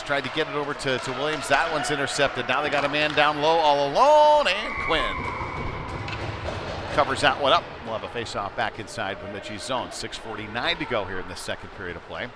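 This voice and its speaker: A man doing live play-by-play commentary of an ice hockey game in a folksy, informal way. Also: medium pitch. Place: indoor ice rink, live stream. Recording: loud rink noise behind the voice. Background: loud arena ambience, puck and board slams.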